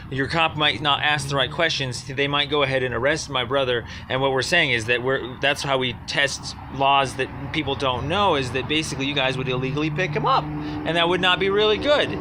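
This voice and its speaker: A man's voice: mid-range voice, background noise. A man calling in on the phone, explaining a complaint in a hesitant, rambling way. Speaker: caller. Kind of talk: phone conversation, arguing a complaint.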